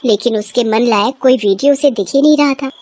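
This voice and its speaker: A woman's voice: fairly high pitch, a little fast, a little mellow. A woman storyteller reading a scripted horror bedtime story. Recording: clear background ambience noise.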